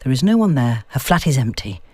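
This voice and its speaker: Expressive woman narrating a story. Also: rumbling low, a little fast, slightly rough, no room sound. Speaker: audiobook narrator. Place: dry, close-miked recording space.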